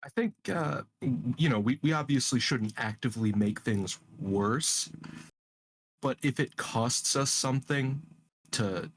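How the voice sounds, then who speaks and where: Player, male, hesitant and halting, faint hiss behind. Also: mid-range voice. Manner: hesitant, many fillers and restarts. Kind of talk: casual tabletop play talk.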